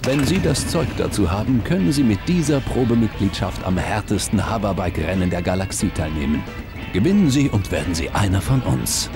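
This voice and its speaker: Promoter, tough, showy, animated, creaky, game advert. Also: male voice, on the low side, brisk. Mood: hyped, tough. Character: gruff showy promoter of a cutthroat racing club. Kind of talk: promotional announcement. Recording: loud music behind.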